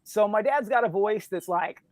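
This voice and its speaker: A man with a squeaky voice chatting casually as a guest author on a podcast. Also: normal speed, no echo. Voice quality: high, squeaky voice.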